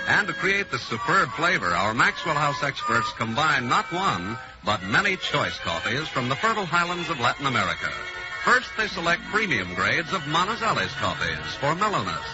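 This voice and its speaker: Male announcer, scripted commercial, lively pitch, lo-fi old radio. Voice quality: smooth, measured announcer delivery. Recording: dull lo-fi old radio broadcast.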